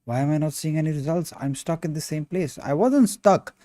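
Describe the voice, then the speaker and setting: A young man with an Indian accent chats loosely while he works, his voice warm and mellow. He reads viewer messages aloud and throws in "bro" as he goes. The sound is dry and close, with no room around it. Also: a little high, brisk, no room sound. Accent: Indian English. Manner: laid-back, calls viewers bro, reads chat aloud. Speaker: sculpting streamer. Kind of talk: casual livestream chat.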